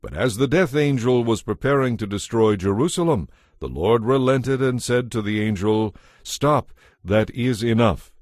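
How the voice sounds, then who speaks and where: A man's voice: medium pitch, animated, moderate pace, darkish tone, no echo, studio quality. A man giving a scripted, dramatized narration of scripture as the narrator.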